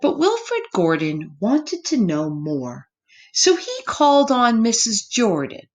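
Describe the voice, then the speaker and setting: Slow, animated woman reading a storybook. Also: a little high. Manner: pauses to address young listeners. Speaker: storytime reader. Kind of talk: expressive picture-book read-aloud for children.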